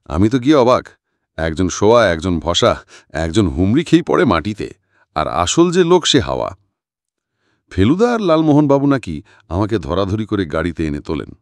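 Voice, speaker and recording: A man narrating a scripted story as an audiobook reader, dramatic and expressive. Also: fairly low pitch, moderate pace, long pauses, no echo, studio quality.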